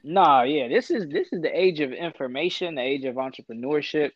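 A man in his twenties, born in Ethiopia, chatting casually as a podcast guest, slangy and quick to laugh. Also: on the high side, no echo, lo-fi sound. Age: 20s. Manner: relaxed, slangy, laughs often. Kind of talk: casual chat.

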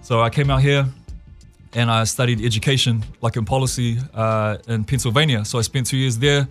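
A man's voice: medium pitch, steady tone, fairly quick, soft background music. A man in his thirties with a New Zealand accent, speaking as a guest on a radio show in an easygoing, chuckling way with many fillers and restarts. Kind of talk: casual radio interview. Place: radio studio.

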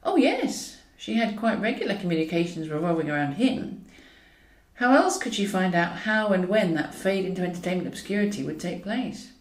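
Roomy, expressive woman narrating a novel. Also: fairly low pitch, normal speed. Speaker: author reading own novel. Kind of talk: scripted narration of a comic novel. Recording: roomy echo, distant from mic.

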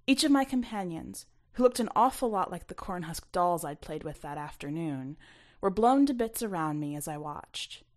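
A woman reading her own short story aloud as expressive first-person narration. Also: fairly low pitch, normal speed.

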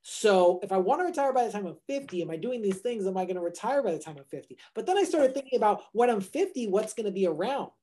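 A man's voice: sits high, very animated, fast talker, hardly any pauses, mellow. A man co-hosting a podcast in casual chat, rambling and restarting his sentences as he thinks aloud. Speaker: podcast co-host.

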